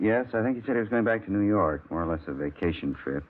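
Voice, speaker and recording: A genial bank manager. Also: male voice, on the low side, expressive, quick pace. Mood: helpful. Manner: genial and businesslike. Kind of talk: 1950s radio drama acting. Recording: tinny 1950s radio broadcast with faint hiss.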